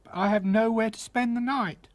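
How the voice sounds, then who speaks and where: A man's voice: high-pitched, steady tone, normal speed, no echo, pitched above the performer's own voice, lightly acted. A man voicing a tiny, polite, eager little boy in a fairy tale.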